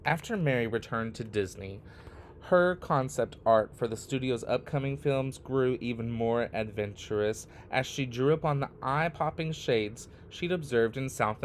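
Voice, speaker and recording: A man reading a picture book aloud expressively, in short phrases with deliberate, dramatic pauses. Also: medium pitch, lively intonation, slight background noise. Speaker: read-aloud storyteller.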